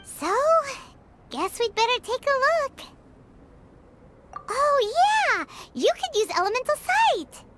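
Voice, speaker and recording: A woman voicing a tiny, excitable floating companion creature in a fan dub of game dialogue, eager and exclamatory. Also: extremely high pitch, slow pace, pauses often, heavy background noise, heavily acted. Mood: excited. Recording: game music underneath.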